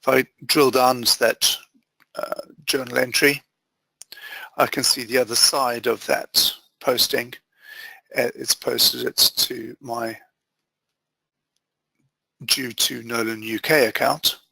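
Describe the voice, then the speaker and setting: A man with a bright, forceful voice and a gravelly edge leads a software walkthrough. He explains each step methodically in short phrases, like a trainer on a screen-share. The sound is close and dry. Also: medium pitch, level tone, slow, long pauses, dry recording, poor audio. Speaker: software trainer presenting a webinar demo.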